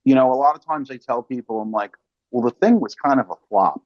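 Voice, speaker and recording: A man's voice: mid-range voice, animated, a little fast, no room sound, dull audio. A man hosting a casual film interview, talking emphatically in slang and analogies. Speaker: podcast host and interviewer.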